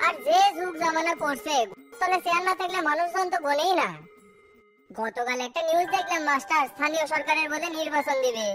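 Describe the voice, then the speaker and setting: A scheming small-town cartoon politico man, acted out with a pitched-up voice, very high and fast. He blusters boastfully, with music behind him. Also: pushed and bright. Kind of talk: cartoon comedy dialogue.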